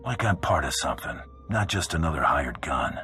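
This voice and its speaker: A seasoned bounty hunter with an extremely low, gruff voice. He is cocky and sardonic, delivering his game cutscene lines coolly over background music. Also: expressive, pushed and bright, exaggerated voice. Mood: measured. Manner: wry, cool, unhurried. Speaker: player character in a video game. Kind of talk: video game cutscene acting.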